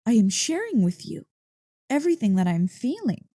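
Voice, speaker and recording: A woman voicing an excitable, affectionate dog-girl girlfriend in an intimate ASMR roleplay, playful and easily flustered. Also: fairly high pitch, moderate pace, no echo, clean studio sound. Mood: excited, curious. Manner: playful, expressive, mock-indignant teasing. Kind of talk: ASMR roleplay, intimate one-sided dialogue. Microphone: close, dry microphone.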